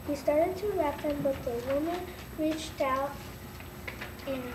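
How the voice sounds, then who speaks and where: High-pitched child narrator, echoey. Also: recorded from a distance. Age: child, about eight or nine. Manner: halting reading. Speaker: narrator in a school readers' theater. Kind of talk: scripted story narration, read aloud. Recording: echoey, noisy room from afar.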